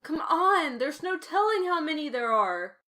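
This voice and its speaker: Woman actor as a frightened survivor, high voice, widely swinging pitch, emotional, zombie horror comic dub. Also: big pitch swings, unhurried, pushed and bright, heavily acted. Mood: alarmed, frantic.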